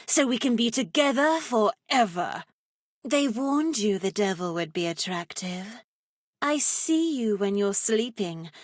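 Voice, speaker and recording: Flirtatious witch teacher, video game character, fairly high voice, arch and teasing, darkly playful, theatrical, dry close recording. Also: dry recording. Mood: seductive. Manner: sultry, arch, teasing, mock-formal.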